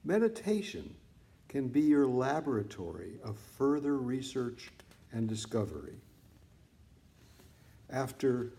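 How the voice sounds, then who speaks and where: A man narrates a mindfulness audiobook at an unhurried pace, with a soft-edged, mellow tone. His pitch moves expressively across deliberate phrases, and he leaves measured pauses between them. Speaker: author reading own book on mindfulness. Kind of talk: scripted audiobook narration, meditation teaching.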